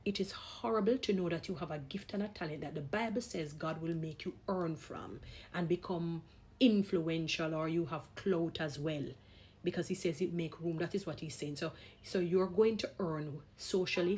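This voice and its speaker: A woman's voice: fairly low pitch, moderate pace, a little mellow, husky. A woman vlogger giving opinionated talk in an emphatic, exhorting manner, repeating phrases for stress. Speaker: vlogger, gospel singer.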